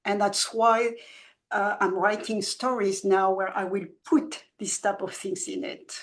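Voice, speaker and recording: A woman in her seventies who grew up in France, a children's book author talking in an interview in a soft, halting way with many restarts. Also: mid-range voice, fairly even intonation. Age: 70s. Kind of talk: reflective conversational interview.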